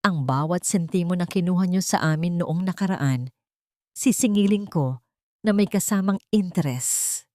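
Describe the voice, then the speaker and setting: A woman's voice: lowish voice, unhurried, mellow, no echo, clean studio sound, lightly acted. A woman voicing a brooding, intense businessman son in a narrated drama story. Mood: cold threat. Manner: intense, threatening.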